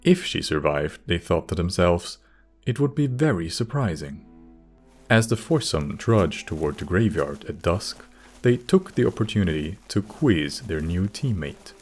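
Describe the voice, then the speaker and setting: A man's voice: low voice, animated, a little slow, a little mellow, slightly rough, faint music behind. A man narrating a scripted fantasy story as an audiobook, reading descriptive third-person prose.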